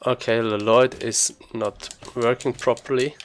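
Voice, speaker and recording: A man giving casual live commentary on his own video game run, thinking aloud in a halting way. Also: mid-range voice, little pitch movement. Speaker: speedrunner commentating own gameplay.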